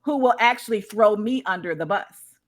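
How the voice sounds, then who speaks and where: A woman's voice: medium pitch, moderate pace. A woman speaking as a guest in a live discussion, conversational and emphatic, often checking in as she shares her experience. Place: live online video call.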